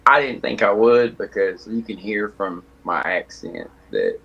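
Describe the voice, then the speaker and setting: A man with a strong Tennessee accent, telling relaxed, rambling stories in a casual podcast chat. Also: on the low side, measured pace, gravelly, dull audio. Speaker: guest.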